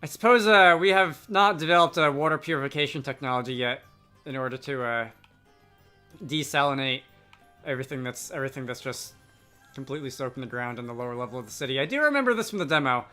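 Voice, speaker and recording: A man's voice: mid-range voice, lively intonation, quick pace, pushed and bright, clear, resonant tone, faint music behind. A man giving casual commentary as he plays a game and reads out its dialogue. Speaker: let's player reading game dialogue aloud. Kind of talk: casual game commentary between read-out lines.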